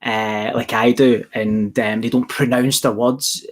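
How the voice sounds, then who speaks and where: Man with a softened glaswegian accent, casual, rambling, lots of restarts, mid pitch. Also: fairly even intonation, unhurried. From Scotland. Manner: rambling, many restarts and fillers. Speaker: business founder answering audience questions. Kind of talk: casual Q&A chat.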